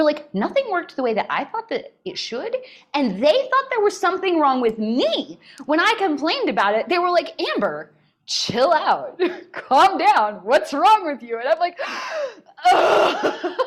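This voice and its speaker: Podcast guest: expressive American woman in her forties, bright-ish higher voice that swings widely in pitch. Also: unhurried. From United States. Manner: animated and expressive, breaks into laughter. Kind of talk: casual conversational storytelling.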